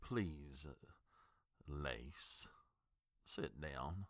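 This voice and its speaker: An actor playing a flustered, formal older doctor in an audio drama, hesitant and stammering. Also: male voice, very deep voice, animated, extremely slow, fairly bright tone, dry recording, clean studio sound, heavily acted.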